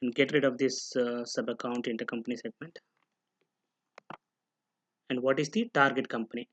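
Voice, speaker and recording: A man narrates a software tutorial as an instructor, explaining step by step and often posing questions that he then answers. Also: mid-range voice, steady tone, moderate pace. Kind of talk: screen-recorded tutorial narration. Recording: noticeable background noise with clicking.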